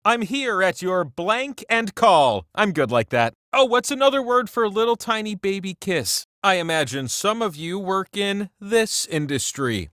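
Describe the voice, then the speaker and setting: A man voicing a whimsical, all-knowing talking wheel that hosts a trivia video game, theatrical and playful, with drawn-out emphasis. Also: high-pitched, sing-song, fairly bright tone, no echo, studio quality, heavily acted. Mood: playful, grand. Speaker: game show host. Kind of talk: scripted trivia game hosting.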